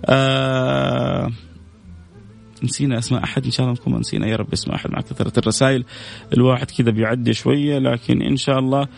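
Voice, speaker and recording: Fast-talking Saudi man with creaky voice. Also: mid-range voice, flat delivery, a little mellow, faint music behind. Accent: Hejazi Saudi. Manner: repeats phrases, effusive greetings and blessings to listeners. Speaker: radio show host. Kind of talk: casual live chat with listeners, reading out messages.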